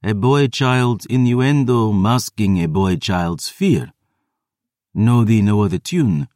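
A man's voice: fairly low pitch, expressive, takes it slowly, a little mellow, no room sound, clean studio sound, voice pushed up. A man voicing a pair of ancient female vampire sisters who speak in haughty, archaic words. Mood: contemptuous.

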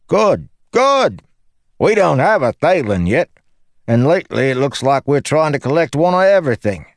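Audiobook role: exuberant, boastful young soldier, high and animated, theatrical, playful. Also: male voice, moderate pace, no room sound. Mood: breezy, boastful. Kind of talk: audiobook character acting.

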